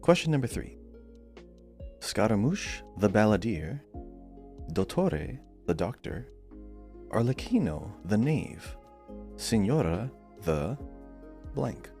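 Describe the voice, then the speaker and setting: A man's voice: fairly low pitch, sing-song, slow, long pauses, dark tone, faint music behind. A man hosting a podcast quiz, reading out questions and chatting casually.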